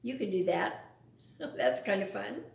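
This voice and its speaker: A woman's voice: medium pitch, expressive, measured pace, vocal fry, echoey room. A woman reading a picture book aloud to young children, adding casual explanations and questions. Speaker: storytime reader.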